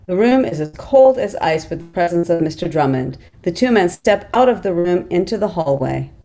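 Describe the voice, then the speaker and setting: A woman's voice: on the low side, big pitch swings, moderate pace, mellow. A middle-aged woman reading stage directions as scripted narration over a video call. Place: small plain room at home, on video call.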